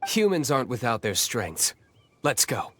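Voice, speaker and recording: A man's voice: medium pitch, big pitch swings, a little slow, fairly bright tone, a little hiss or hum. A man playing a cool, sardonic young nobleman in a video game, with dry, terse delivery. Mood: cool, determined. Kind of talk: scripted video game voice acting.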